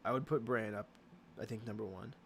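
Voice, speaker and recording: A young man hosting a casual livestream Q and A, answering chat questions in a halting way with many ums and restarts. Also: fairly low pitch, fairly even intonation, slight background noise.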